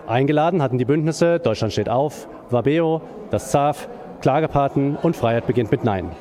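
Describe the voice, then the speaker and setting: A man's voice: medium pitch, moderate pace, warm tone. A man reporting from an event, reading a formal news narration and interviewing attendees.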